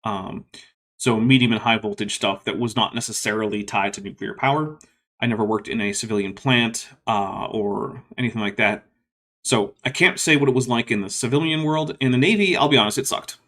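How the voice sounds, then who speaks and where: A man's voice: fairly low pitch, brisk, a bit bright. A man hosting a casual livestream question session, talking in a reflective, rambling way with many fillers and restarts, and a voice listeners call soothing. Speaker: YouTube host answering viewer questions.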